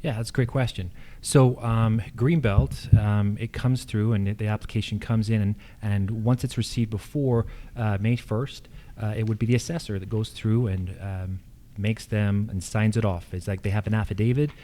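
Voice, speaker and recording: Low, mellow-voiced American man explaining. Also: fairly even intonation, moderate pace. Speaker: incumbent candidate for county assessor. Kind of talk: extemporaneous answers at a candidate forum.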